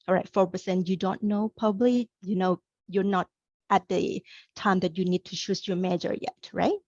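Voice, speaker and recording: A woman's voice: mid-range voice, steady tone, normal speed, a little mellow, dry recording, lo-fi sound. A middle-aged woman from Thailand, a career coach presenting a webinar, speaking in an upbeat, encouraging way straight to her audience.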